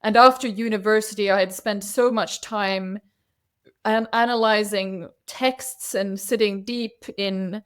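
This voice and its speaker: A woman's voice: medium pitch, steady tone, unhurried, a bit bright, clear, resonant tone. A woman from Finland, a native Swedish speaker, chatting as a podcast guest and telling anecdotes with frequent restarts. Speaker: podcast guest, CEO ghostwriter. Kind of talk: casual interview chat.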